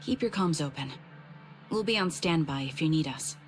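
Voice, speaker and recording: A woman's voice: low voice, animated, normal speed, soft background music. A woman playing a composed, protective female soldier in a video game. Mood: calm, gentle.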